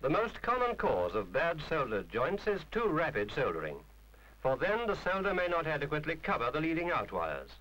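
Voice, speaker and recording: A man's voice: on the high side, wildly swooping pitch, moderate pace, fairly bright tone, low-quality recording. A man reading a scripted instructional film narration in a formal, precise, didactic manner.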